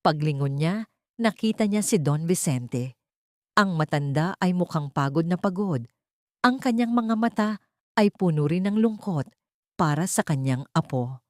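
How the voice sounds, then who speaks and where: A woman's voice: on the low side, expressive, unhurried, a little mellow, no echo, clean studio sound. A woman reading a scripted audio story as a dramatic narrator.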